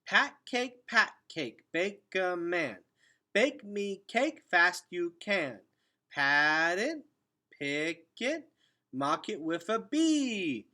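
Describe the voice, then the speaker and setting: Storybook toddler girl, played by a man: high, bright, singsong baby talk, playful and affectionate. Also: big pitch swings, extremely slow, heavily acted. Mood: playful singing. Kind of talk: children's novel read-aloud.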